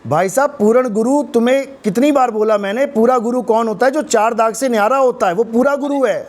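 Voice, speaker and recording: A hoarse man arguing in a heated religious debate, combative and mocking. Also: very high voice, brisk, a little mellow. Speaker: spiritual teacher in debate.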